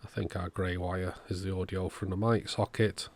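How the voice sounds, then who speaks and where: A man's voice: sits low, level tone, normal speed, no echo. A man giving a casual, matter-of-fact repair walkthrough, thinking aloud in an understated way. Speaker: electronics repairer presenting own channel. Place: indoors at a workbench.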